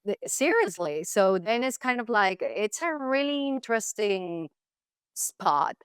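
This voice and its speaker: A woman's voice: mid-range voice, big pitch swings, measured pace, clean tone, dry recording, studio quality. A Colombian woman whose first language is Spanish, speaking English with a Colombian accent, reflecting as an interview guest with many fillers and restarts. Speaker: guest actress. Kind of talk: casual interview chat.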